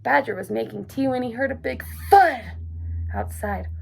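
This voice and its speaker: A woman reading a children's picture book aloud, gentle and expressive. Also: fairly high pitch, moderate pace, creaky, slight background noise. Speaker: storyteller. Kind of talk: children's story read-aloud.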